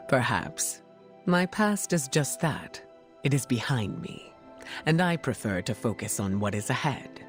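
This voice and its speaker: A woman playing a regal, gracious mutant heroine in a video game, speaking formally and with measured poise. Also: a bass voice, big pitch swings, soft background music, full character voice. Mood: warm, courteous. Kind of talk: video game cutscene acting.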